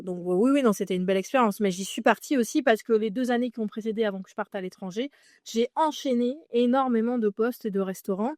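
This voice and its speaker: A woman's voice: medium pitch, a little mellow, dry recording, clean studio sound. A woman from France in her thirties, a podcast guest telling her story in a chatty way with many fillers and restarts. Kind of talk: casual interview conversation.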